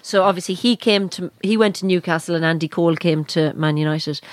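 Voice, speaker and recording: Low-voiced woman telling a story. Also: brisk, dry recording. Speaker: podcast co-host. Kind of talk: casual anecdote telling among friends.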